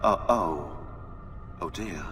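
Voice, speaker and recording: An actor playing a brainy, know-it-all historian in a video game, dry and sardonic, with a British accent. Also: male voice, low voice, slow pace, a bit bright, a little hiss or hum. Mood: dismayed. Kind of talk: video game cutscene acting. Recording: long hall reverb.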